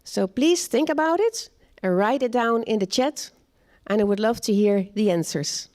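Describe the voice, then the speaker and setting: A woman leads a live seminar, her voice sitting a little high. She talks in a measured, plain way, pausing to check in with her audience. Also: a little mellow. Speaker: seminar speaker. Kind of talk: live online talk with audience chat.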